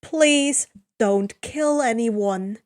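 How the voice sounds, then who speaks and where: A woman's voice: a little high, very slow. A woman voicing an easygoing, modest yet mischievous teenage demigod boy in a story, mild and casual. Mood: pleading.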